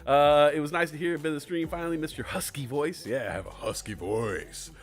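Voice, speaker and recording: Dude hosting his stream, kinda high voice, swears a ton, rambles and jokes. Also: a little fast, soft background music. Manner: profane, rambling, jokey, swings from low mumbles to loud shouts. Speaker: livestream host. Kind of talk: casual live stream chat.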